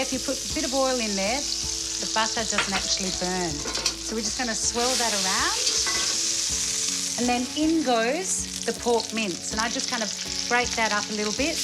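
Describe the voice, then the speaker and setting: A woman's voice: fairly high pitch, heavy background noise. A woman hosting a cooking show, presenting in an enthusiastic, informal and chatty way. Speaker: host, cook. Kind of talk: upbeat cooking show presenting and casual chat. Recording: background music under the voice.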